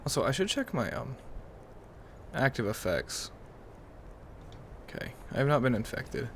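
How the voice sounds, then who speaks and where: Casting: male gaming host, medium pitch, casual roleplay commentary over faint game ambience. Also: sing-song, unhurried, fairly bright tone, slight background noise. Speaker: gaming video host and player.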